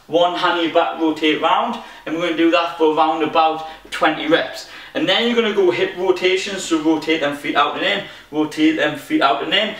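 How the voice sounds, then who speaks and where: A young man with a thick Geordie accent, coaching a home workout from his front room, talking fast and restarting sentences when he gets excited. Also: on the high side. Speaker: fitness coach. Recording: echoey room, voice from far off.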